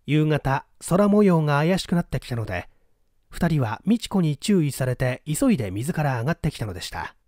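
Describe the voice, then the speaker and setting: A man narrating a mystery novel as an audiobook, in a measured storytelling manner. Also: fairly high pitch, big pitch swings, darkish tone, no echo, clean studio sound. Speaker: audiobook narrator, small-theatre stage actor. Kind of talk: scripted narration, mystery novel read aloud.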